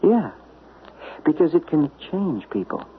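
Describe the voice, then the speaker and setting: A soft-spoken earnest agent guy, gravelly, lots of pitch movement, tinny old radio sound with a bit of hiss. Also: sing-song, a little slow. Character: calm, newly peaceful male literary agent. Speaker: Dick Harrison, a literary agent. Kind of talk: 1970s radio drama acting.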